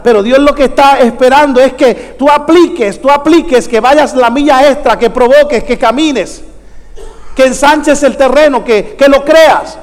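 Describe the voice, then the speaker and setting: A man preaching a sermon to a congregation in an exhorting way, repeating phrases and prompting listeners with rhetorical questions. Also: very high-pitched. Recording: echoey hall with long reverb.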